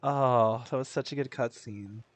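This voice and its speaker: A man giving casual live game reactions as a streamer, slangy, playful and excitable. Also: mid-range voice, measured pace.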